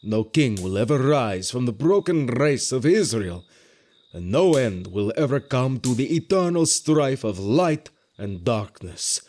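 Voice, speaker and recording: An actor playing a stern, pragmatic sceptic in an audio drama, dismissive and lecturing in formal, solemn phrases. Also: male voice, mid-range voice, sing-song, a little slow, a little mellow.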